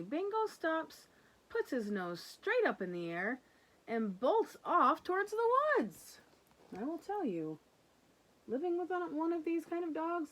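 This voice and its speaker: A woman's voice: sits high, low-quality recording. A woman speaking as a teacher to young children, reading a picture book and explaining it simply and affectionately. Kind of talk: picture-book read-aloud with casual explanations.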